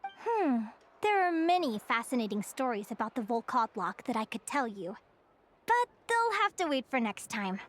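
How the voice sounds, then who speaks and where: Gentle playful teacher, high voice, lively intonation, video game character. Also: female voice, normal speed, slight background noise. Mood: gently teasing.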